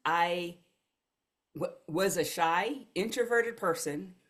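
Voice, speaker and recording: A woman's voice: lowish voice, slow pace. An American woman, a life coach, telling her story as a podcast guest in an earnest, reflective way. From United States. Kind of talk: podcast conversation.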